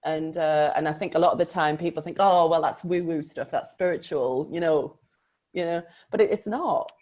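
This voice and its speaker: Low-voiced reflective woman coach. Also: moderate pace, darkish tone. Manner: reflective, emphatic, often laughs. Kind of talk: casual conversation about a book.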